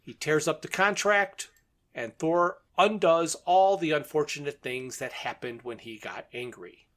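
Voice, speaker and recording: Higher-pitched man recapping a story. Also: a little slow, fairly bright tone. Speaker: podcast co-host. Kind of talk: plot recap in casual podcast talk.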